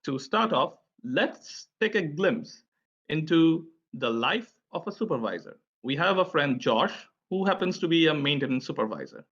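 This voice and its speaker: Brief: man hosting a webinar, fairly high voice, dull lo-fi sound, relaxed with lots of "uh". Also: moderate pace. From India. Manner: friendly, chatty, many filler "uh"s. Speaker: presenter at an online tech session. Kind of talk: conference presentation. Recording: dull, lo-fi online audio.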